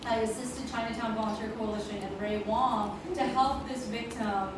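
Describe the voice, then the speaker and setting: Middle-aged woman from Hong Kong, speaker at a community event, earnest with ums, a bit high, picked up from a distance. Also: fairly even intonation, normal speed. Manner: earnest, frequent ums, switches between English and Cantonese. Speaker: deputy city attorney giving a speech. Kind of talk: public speech at an event. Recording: echoey room, voice from a distance, clear background noise.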